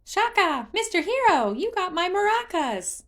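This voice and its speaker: A woman voicing an excitable, bubbly, childlike tree spirit who exclaims in a sing-song way in a fantasy story reading. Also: very high voice, unhurried, creaky, voice pushed up, heavily acted. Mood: delighted.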